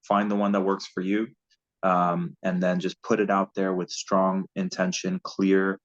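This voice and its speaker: Deep-voiced man, dull lo-fi call audio. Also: monotone, unhurried. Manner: earnest, loose and rambling, laughs at own slips. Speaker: guest relationship coach. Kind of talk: casual spiritual advice talk.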